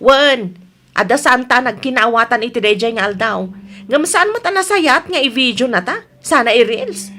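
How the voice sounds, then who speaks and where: A woman's voice: a little high, animated, normal speed, sharp tone, slight background noise. A woman acting an upset village woman, pleading and emphatic, in an Ilocano radio comedy drama. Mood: apologetic. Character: upset, apologetic but forceful village woman.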